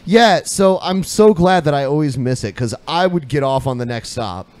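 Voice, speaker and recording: A man's voice: on the high side, lively intonation, moderate pace, a little mellow, clean tone. A man co-hosting a podcast, giving casual comedic commentary in a wry, sarcastic way. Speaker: podcast co-host.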